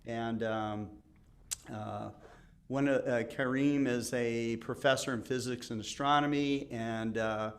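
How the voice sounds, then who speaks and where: A man's voice: mid-range voice, steady tone, takes it slowly. A man in his early sixties hosting a livestream, chatting casually with many fillers and easy laughter. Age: 60s. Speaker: show host. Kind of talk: casual chat.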